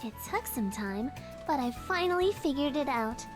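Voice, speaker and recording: An eager, teasing young female engineering student in a visual novel game. Her voice sits on the high side and moves around expressively, with prominent music behind it. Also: lively intonation, normal speed. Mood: excited, proud.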